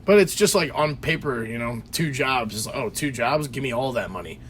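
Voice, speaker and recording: A man's voice: mid-range voice, expressive, normal speed, background noise. A man co-hosting a podcast from his basement, telling a rambling story with lots of restarts and fillers. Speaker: podcast co-host. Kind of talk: casual chat. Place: home basement.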